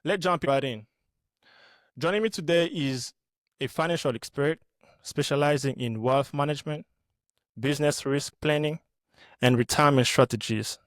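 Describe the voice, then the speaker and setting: A man's voice: a little high, normal speed, pauses often, no room sound. A man hosting an interview podcast, introducing the show and putting questions to a guest. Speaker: podcast host.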